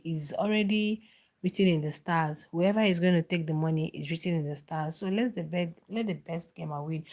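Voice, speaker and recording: A middle-aged woman with a fairly low voice chats through a live stream. She answers viewer comments as they arrive and repeats phrases to drive her point home. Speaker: reality show commentator.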